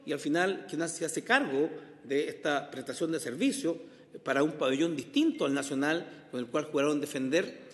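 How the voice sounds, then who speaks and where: A Chilean man chairing a parliamentary committee, speaking formally off the cuff in a deliberate, halting way with repeated words. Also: on the high side. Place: large committee room, indoors. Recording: echoey hall with long reverb.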